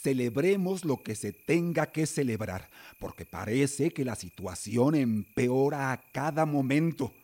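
A well-off middle-aged Mexican patriarch in a radio drama. His voice is medium in pitch with a rough, husky edge. He is fearful and authoritative, quick to scold. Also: lively intonation, warm tone, faint music behind. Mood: grave, worried. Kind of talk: Spanish-language radio drama acting.

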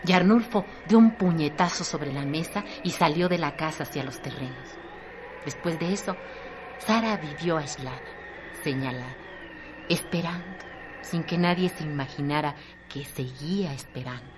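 A woman's voice: deep voice, normal speed, lightly acted. A woman playing a grave storyteller, narrating a scripted radio drama about village life. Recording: clear background noise.

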